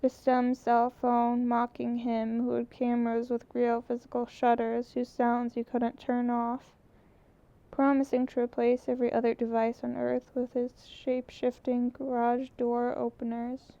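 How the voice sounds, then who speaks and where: A woman narrating a novel in a measured, even delivery with occasional slips. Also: fairly high pitch, clear, resonant tone. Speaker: audiobook narrator. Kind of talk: novel reading. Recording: muffled lo-fi recording.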